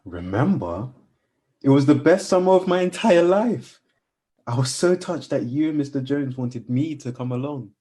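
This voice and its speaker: Actor as devoted male friend, radio play, earnest, hesitant, mellow timbre, swooping intonation. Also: medium pitch, lively intonation, moderate pace, natural voice. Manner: earnest, hesitant, evasive when pressed. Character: earnest, guarded male best friend, works in IT.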